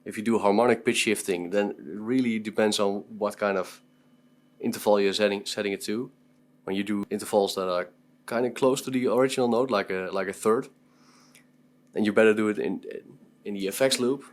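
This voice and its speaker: Low-pitched man, casual and halting. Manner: halting, informal, thinking aloud. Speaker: guitar effects demonstrator. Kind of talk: casual product demo commentary.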